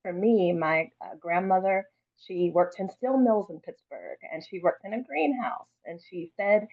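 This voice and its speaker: A woman's voice: medium pitch, lively intonation, a little slow. Woman reading aloud, thin phone-like sound. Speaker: children's author. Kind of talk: read-aloud storytelling and informal introduction.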